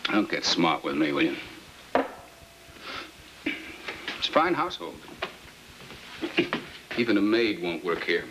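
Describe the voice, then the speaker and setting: Casting: middle-aged American dad, low voice, sour and sarcastic, 1950s film, noisy old soundtrack. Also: male voice, sing-song, slow pace, long pauses, heavily acted. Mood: bitter, sarcastic. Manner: sarcastic, grumbling. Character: bitter, suspicious middle-aged husband and father. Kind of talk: 1950s film drama acting.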